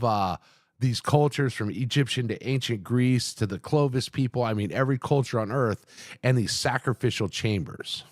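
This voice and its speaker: A man's voice: on the low side, no room sound, studio quality. A man hosting a reaction video with casual commentary, thinking aloud and addressing his viewers informally and directly.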